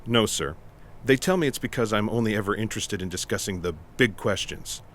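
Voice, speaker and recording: An actor playing an earnest, literal-minded special agent in a comic audio play, polite, with faint office noise behind. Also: male voice, fairly low pitch, fairly quick, fairly bright tone, close to an everyday voice. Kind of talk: comic sci-fi audio theatre.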